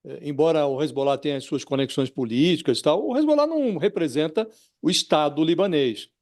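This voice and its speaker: A Brazilian man on a news panel, with a voice slightly high for a man. He builds questions for a guest in a spontaneous, deliberate way, repeating words as he finds his phrasing. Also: lively intonation, darkish tone.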